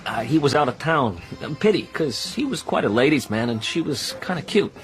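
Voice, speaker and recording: An actor playing a fat, wisecracking, streetwise American in New York, slangy and knowing, in a radio drama. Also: male voice, mid-range voice, very animated, moderate pace, soft background music, natural voice, poor audio. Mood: chatty, knowing.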